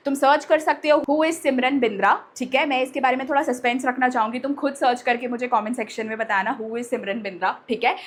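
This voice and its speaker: A woman with a notably high voice talks directly to young students as their online teacher. She addresses them familiarly, like an elder sister, mixes English phrases into her speech and often adds short tags to check they follow. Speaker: online teacher and channel host. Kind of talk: direct-to-audience vlog talk with a sponsored pitch.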